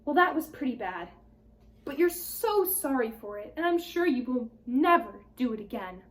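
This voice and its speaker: A very high, earnest little girl's voice put on by a woman for a storybook. She is kind and concerned, leaning on her words with emphatic, innocent directness. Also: very animated, normal speed. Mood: reassuring. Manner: emphatic, pleading, questioning.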